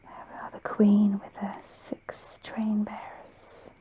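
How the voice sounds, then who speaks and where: A woman whispering gently and soothingly in an ASMR roleplay, breathy and unhurried, chatting to the listener. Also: medium pitch, monotone, dull audio. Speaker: ASMR host.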